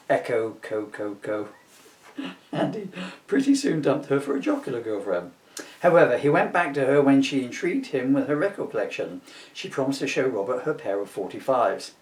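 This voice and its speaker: A man's voice: mid-range voice, a little mellow. A man giving a comic scripted reading with wry, self-mocking asides. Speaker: author reading his own script. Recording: roomy echo, distant mic.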